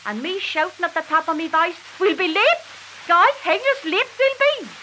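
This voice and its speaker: A woman's voice: extremely high pitch, moderate pace, voice pushed up, exaggerated voice, poor audio. A woman reciting a dialect tale as a talkative, exasperated Manx country wife confiding in a neighbour, on a hissy 1940s archive recording. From Isle of Man. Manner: animated, confiding, repeatedly addressing her listener.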